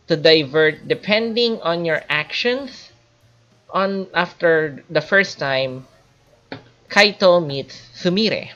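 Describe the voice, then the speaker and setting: Low-voiced woman reviewing games, muffled recording. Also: fairly bright tone. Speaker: video game reviewer. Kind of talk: informal spoken game review. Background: light background music.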